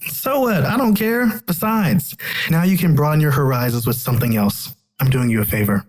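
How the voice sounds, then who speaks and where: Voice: mid-range voice, moderate pace, lightly acted, rough recording. An actor playing a flippant, teasing little brother in an audio drama, slangy and smart-alecky. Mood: cocky teasing.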